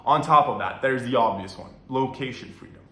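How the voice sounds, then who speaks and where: A young man from Norway giving relaxed career advice to camera from his apartment, talking casually with lots of "right" and "you know". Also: medium pitch, brisk, reverberant. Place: apartment room.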